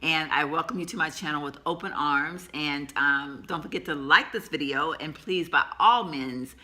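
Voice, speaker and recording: Woman in her 50s, very bright tone, rambling chatty vlogger with a cold coming on. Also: deep voice, normal speed. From Texas, USA. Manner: rambling, chatty, laughs often. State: coming down with a cold, sore throat. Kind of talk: casual chatty vlog. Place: sitting at home.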